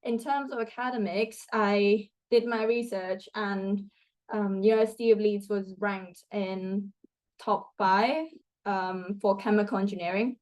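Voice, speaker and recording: A woman's voice: medium pitch, fairly even intonation, measured pace, a little mellow, low-quality recording. A young woman from Thailand, a student guest answering interview questions in a chatty way, with many fillers and restarts. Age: young adult.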